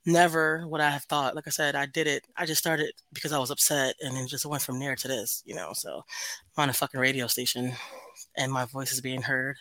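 A woman with a deep voice, chatting casually as a podcast guest, laid-back and rambling, swearing easily. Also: fairly even intonation, fairly quick, fairly bright tone, no room sound, low-quality recording.

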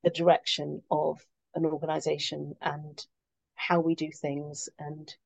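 A woman's voice: deep voice, level tone, measured pace, creaky, no room sound. A woman interviewed as a guest consultant, speaking in a reflective, hesitant way. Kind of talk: conversational interview.

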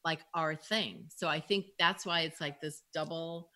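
A woman's voice: deep voice, fairly even intonation, unhurried, pushed and bright. An American woman from Wisconsin, talking casually as a guest expert in a podcast interview. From Milwaukee, Wisconsin, US.

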